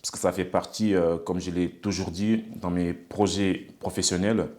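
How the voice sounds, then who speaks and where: Thirties guy from senegal, really deep voice, halting, stutters de de de. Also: fairly even intonation, a little mellow. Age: 30s. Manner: halting, stutters on small words. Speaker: interviewee, elevator technician. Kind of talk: documentary interview.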